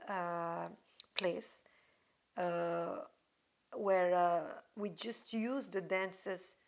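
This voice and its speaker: A woman from Belgium, a dancer answering interview questions thoughtfully, with frequent pauses and restarts. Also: mid-range voice, creaky.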